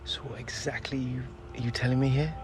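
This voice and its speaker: A man's voice: mid-range voice, fairly even intonation, fairly quick, creaky, close to an everyday voice. A man playing an eager, stubborn young footballer in a video game cutscene. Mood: worried. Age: young adult. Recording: game dialogue over music.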